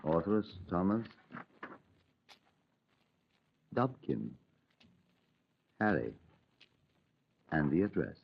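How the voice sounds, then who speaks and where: A low-voiced, formal detective inspector who is courteous but unrelenting. His speech rises and falls in a lively way. He is heard on a dull old radio recording from a 1950s drama. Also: slow pace, creaky, a little hiss or hum, heavily acted. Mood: polite. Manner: formal and courteous, calling others sir. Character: polite, persistent male police detective inspector.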